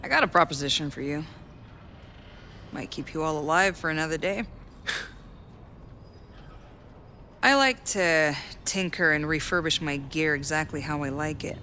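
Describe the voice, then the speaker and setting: A man's voice: a little high, unhurried, pauses often, sharp tone, a little hiss or hum. A man playing a cocky, foul-mouthed, cynical mercenary in a video game cutscene, with a brash sneering manner. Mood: wheeling and dealing.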